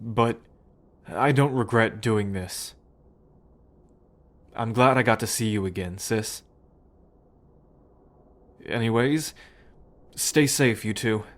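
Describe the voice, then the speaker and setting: A man's voice: on the low side, takes it slowly, pauses often. A man acting a remorseful, affectionate brother in an ASMR roleplay, with a hesitant, heartfelt delivery. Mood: determined, affectionate.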